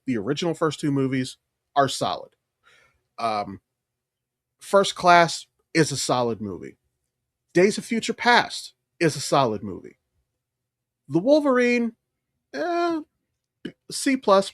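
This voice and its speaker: A man with a slightly high voice and very lively, swinging intonation, speaking as a podcast co-host. He gives casual film opinions bluntly and emphatically, swearing freely. The sound is close and dry. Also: dry recording.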